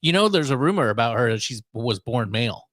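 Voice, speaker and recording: A man's voice: mid-range voice, lively intonation, fast talker, fairly bright tone, dry recording, studio quality. A man hosting a podcast in casual, unscripted chat, with a wry, rambling, somewhat hesitant way of talking. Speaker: podcast host.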